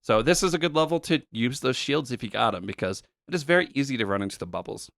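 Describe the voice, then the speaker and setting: A man co-hosting a podcast, casually walking through retro game levels with a relaxed, explanatory manner and plenty of fillers. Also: mid-range voice, quick pace, creaky, no room sound, studio quality. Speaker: podcast co-host. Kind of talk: casual chat about retro games.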